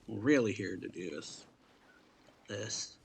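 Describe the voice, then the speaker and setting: Low gravelly man, offhand gaming commentary. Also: slow, slight background noise. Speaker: gaming streamer. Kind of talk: casual gameplay commentary. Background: video game music and character voices.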